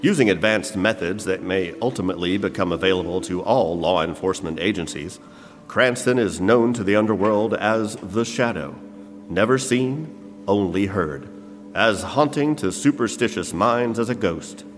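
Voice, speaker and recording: A man's voice: fairly low pitch, moderate pace, faint music behind. A man announcing and narrating in a scripted, old-time radio style. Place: church hall, live performance. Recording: reverberant hall stage recording.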